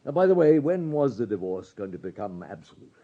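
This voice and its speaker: An actor playing a shrewd, courteous police superintendent in a radio drama, polite, measured and insistent. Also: male voice, fairly low pitch, big pitch swings, narrow, tinny audio. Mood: coolly accusing.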